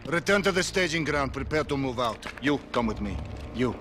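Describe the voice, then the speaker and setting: An actor playing a ruthless middle-aged warlord in a video game, cold, volatile and contemptuous, speaking in a deliberate, menacing way. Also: male voice, mid-range voice, animated, music in the background, natural voice. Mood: contemptuous impatience. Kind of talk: video game cutscene acting.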